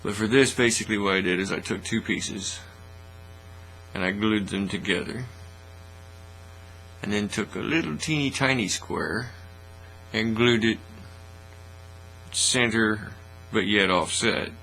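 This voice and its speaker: A middle-aged man giving a casual, folksy, unhurried how-to demonstration at an indoor hobby bench. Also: fairly low pitch, creaky, bright, forceful tone, poor audio. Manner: folksy, unhurried step-by-step talk. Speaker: hobby craft tutorial host. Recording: faint mains hum and noise.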